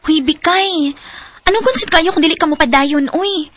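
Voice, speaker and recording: A woman's voice: very high-pitched, moderate pace, fairly bright tone, dry recording. A young woman playing a caring college student in a radio drama, chatting with sympathy. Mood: chatty. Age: young adult.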